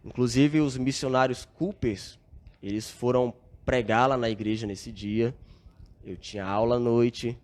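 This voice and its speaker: A man's voice: medium pitch, steady tone, measured pace, a little mellow. A young Brazilian man, a podcast guest, telling his story in an earnest, reflective way with frequent filler tags. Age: young adult. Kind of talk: casual storytelling interview.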